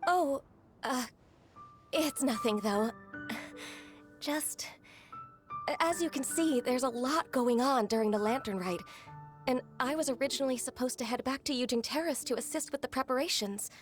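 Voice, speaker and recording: Woman acting a high, gentle, anxious young woman. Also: moderate pace, faint music behind, close to an everyday voice. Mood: polite, flustered. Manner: polite, hesitant, fretful. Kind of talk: video game voice acting.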